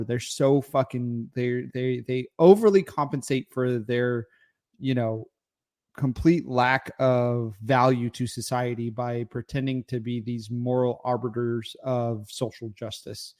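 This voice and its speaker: A man's voice: medium pitch, fairly even intonation, a little slow, darkish tone. A man with a mid-range voice co-hosts a podcast. He speaks bluntly and crudely, and keeps his tone provocative. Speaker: podcast co-host. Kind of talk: casual political banter.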